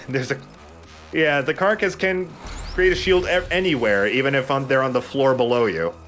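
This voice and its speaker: A man's voice: medium pitch, moderate pace, a bit bright. A man chatting casually as he gives commentary on a video game he is playing. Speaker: let's play gamer and commentator. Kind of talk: casual joking gameplay commentary. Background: faint game music.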